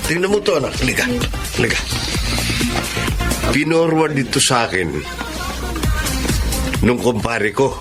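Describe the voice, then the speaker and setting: An actor playing an eager, stubborn, middle-aged Filipino matchmaking father, coaxing and excitable, in a radio drama. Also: mid-range voice, measured pace, pauses often, natural voice. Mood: excited, puzzled. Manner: coaxing, animated. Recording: music bed behind the voice.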